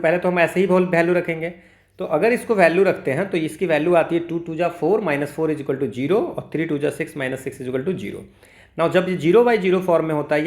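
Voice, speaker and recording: Indian man, exam tutor, slightly high voice, informal step-by-step explaining, roomy echoing sound. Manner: informal, step-by-step, checks understanding with frequent tags. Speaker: maths teacher for exam preparation. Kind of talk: explanatory lesson mixing Hindi and English. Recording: echoey room sound.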